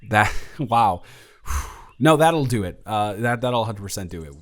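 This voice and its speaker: A man's voice: on the low side, expressive, a little slow. A young man playing an awkward, smitten, introverted college dormmate in a romantic audio roleplay, rambling and stammering in a flustered way. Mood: flustered, affectionate. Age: young adult. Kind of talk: intimate romantic audio roleplay, conversational acting.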